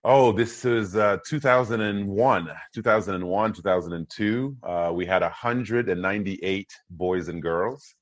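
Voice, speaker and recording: A man's voice: lowish voice, measured pace, few pauses, dry recording. A man in his forties who grew up in Congo, a podcast guest telling his family story in an upbeat way, with many "uh" and "um" fillers. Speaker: podcast guest, motivational speaker. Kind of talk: conversational interview.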